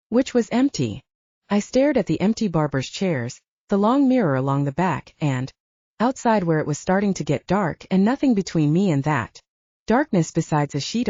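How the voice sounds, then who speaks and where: A woman's voice: fairly low pitch, a little mellow, no room sound, highs cut off. A woman narrating first-person fiction as a scripted audiobook, recorded close in a dry space.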